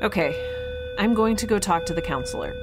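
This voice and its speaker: An actress playing a wry young scientist on a space station, casual, breezy and sarcastic, in a science fiction audio drama. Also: female voice, medium pitch, lively intonation, brisk, music in the background, lightly acted. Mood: brisk, wry.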